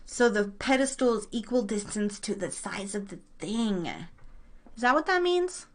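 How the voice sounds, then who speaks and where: A woman's voice: a little high, animated, normal speed. A woman hosting a casual live trivia stream, chatting informally and slangily with her listeners. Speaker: live show host running a trivia quiz.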